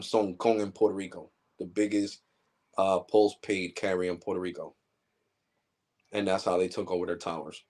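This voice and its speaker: Man, forties, tech channel host, livestream rant, blunt, heated, profane, slightly low voice. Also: a little mellow. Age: 40s. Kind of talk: casual ranting livestream monologue.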